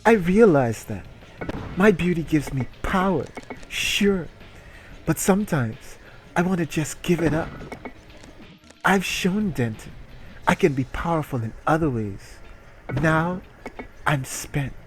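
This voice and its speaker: A man's voice: fairly high pitch, lively intonation, slow, pauses often, soft background music. A man voicing a weary, flirtatious, confiding woman from an erotic story in a comedic dramatic reading.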